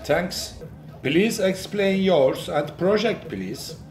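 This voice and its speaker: A man hosting an interview outdoors by the sea, asking short, simple questions in halting English. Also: high voice, lively intonation, darkish tone. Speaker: host, interviewer. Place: seaside promenade, outdoors. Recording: outdoor noise.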